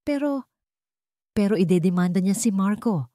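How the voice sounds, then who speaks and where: A woman's voice: medium pitch, animated, moderate pace, warm tone, no echo, clean studio sound, pitched above the performer's own voice, close to an everyday voice. Woman acting an anguished, tearful mother. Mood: sobbing, hopeless. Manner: tearful, faltering, pleading. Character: anguished, pleading mother giving up for her children.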